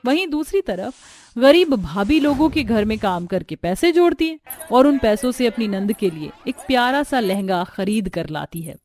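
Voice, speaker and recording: A woman's voice: on the high side, big pitch swings, moderate pace, a little mellow, faint music behind. A woman reading scripted narration of a family drama as a storyteller.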